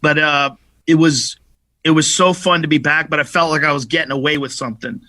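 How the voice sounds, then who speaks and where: A comedian in casual conversation, his voice bright and slightly high for a man. He tells stories with plenty of swearing and energy. The studio sound is dry and close. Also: a little fast, no echo. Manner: profane, animated storytelling. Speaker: comedian guest. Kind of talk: casual podcast chat.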